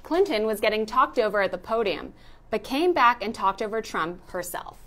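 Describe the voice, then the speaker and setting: A woman's voice: medium pitch, expressive, normal speed, fairly bright tone. A woman reading scripted narration for a news explainer.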